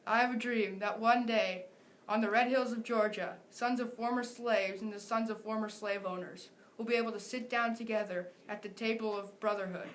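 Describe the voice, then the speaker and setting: Teen female student reader, somewhat high voice, light background noise. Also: steady tone, normal speed, low-quality recording. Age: teenager. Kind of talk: reading a famous speech aloud.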